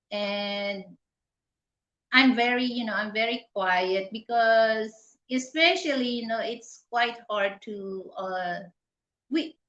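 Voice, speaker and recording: Filipina woman, Filipino accent, slow halting speech, echoey dull call audio. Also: medium pitch, fairly bright tone. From Philippines. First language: Hiligaynon. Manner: hesitant, searching for words, many fillers. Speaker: interview guest. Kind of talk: casual conversational interview. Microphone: video call. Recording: roomy, dull lo-fi sound.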